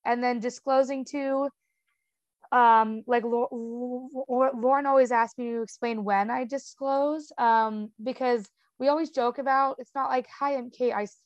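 A woman's voice: a little high, fairly even intonation, a little slow, dry recording, muffled recording. A young woman with a stutter, talking casually as an interview guest, with sound repetitions, blocks and lots of "um" and "like".

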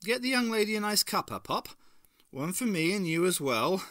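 A stout older cafe woman, placid and motherly on the surface and shrewd underneath, voiced by a man. Her voice rides high and lively, and the read is close and dry. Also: normal speed, dry recording. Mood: placid menace. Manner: cosy, motherly turns of phrase.

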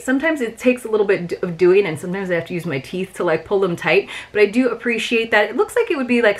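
Chatty woman unboxing products casually. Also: mid-range voice. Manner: chatty, informal, with frequent "like". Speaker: subscription box reviewer. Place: small furnished room at home, indoors.

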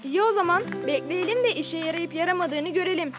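A young cartoon boy, boyish and very high in pitch. He is a cheerful big brother with a teasing way of talking. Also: quick pace, over loud music. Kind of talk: children's cartoon acting.

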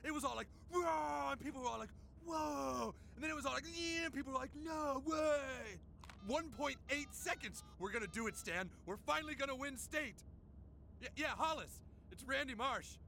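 A man voicing a hot-headed, boastful, foul-mouthed suburban dad in an animated comedy. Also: very high-pitched, fast, gravelly, faint music behind, full character voice. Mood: excited boasting. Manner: excitable, competitive, swearing.

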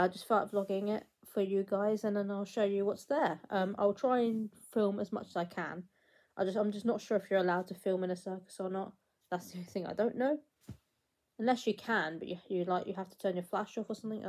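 A woman's voice: mid-range voice, darkish tone. A woman in her twenties vlogging casually to her phone at home, chatty and rambling with lots of fillers and restarts. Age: 20s. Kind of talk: casual vlog to camera. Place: small room at home, indoors. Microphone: phone held at arm's length.

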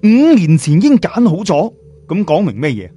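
A man's voice: high voice, huge pitch swings, normal speed, mellow, breathy edge, highs cut off, soft background music. A man telling a story in colloquial Cantonese-accented speech, theatrical, as an audiobook storyteller. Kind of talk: animated storytelling with character voices.

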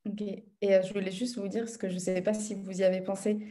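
A woman's voice: medium pitch, level tone, quick pace, dark tone. A young woman in her twenties, a student interviewer, talking in a chatty way over a video call from her room at home. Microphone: video call laptop mic.

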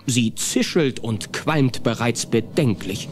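A man narrating a scripted children's audio play as an animated storyteller. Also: mid-range voice, takes it slowly, slight background noise. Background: music.

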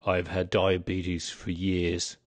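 A man's voice: sits low, completely flat delivery, slow pace, no echo. A man voicing a weary, grave old man confessing his past in an audiobook story. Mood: weary, resigned. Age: older. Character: weary, dying old man, confessed former highway robber.

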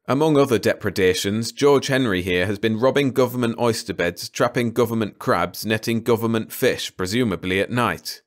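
A man's voice: lowish voice, lightly acted. Stern, formal male official played by a man. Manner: formal, measured, pedantic wording. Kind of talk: audiobook character dialogue.

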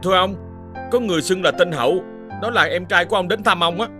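A man voices a flattering male hanger-on in a fairy-tale cartoon. He is obsequious and chummy in a high-pitched voice, with plucked-string music clearly behind. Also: quick pace, lightly acted. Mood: deferential. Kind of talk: animated fairy-tale voice acting.

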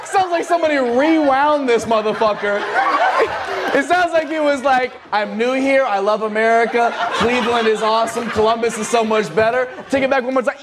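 A man's voice: very high voice, moderate pace. A young man doing stand-up crowd work on a club stage with a handheld mic, teasing and laughing through his lines. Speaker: stand-up comedian. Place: comedy club stage, brick wall. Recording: noisy club recording with crowd. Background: loud audience laughter.

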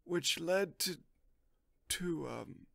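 An actor playing a droning, absent-minded history teacher in an audio drama. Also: male voice, fairly high pitch, lively intonation, very slow, creaky, pushed and bright, no echo, heavily acted. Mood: weary, droning. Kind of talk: audio drama classroom lecture.

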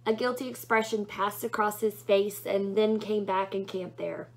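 A woman's voice: medium pitch, fairly even intonation, normal speed, low-quality recording. A young adult woman narrating a storybook as she reads it aloud in a small room at home. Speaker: book reader.

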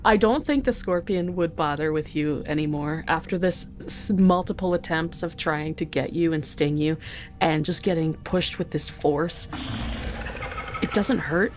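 A woman's voice: sits low, a little slow, soft background music. A woman guest on a gaming podcast, casually improvising a monster's moves and explaining them as she thinks aloud. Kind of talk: casual tabletop game improvisation.

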